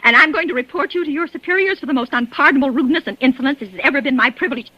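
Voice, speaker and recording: A woman's voice: high voice, racing, no room sound, dull audio, lightly acted. An actress playing a haughty, terrified wealthy woman stuck in bed, in 1940s radio drama style, stammering and breaking into sobs. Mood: haughty scorn. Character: frantic bedridden wealthy woman, imperious, terrified.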